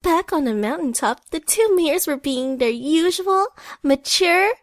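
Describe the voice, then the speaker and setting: A woman's voice: high voice, expressive, a little slow, heavy background noise. A woman narrating a comic scripted story with a wry touch.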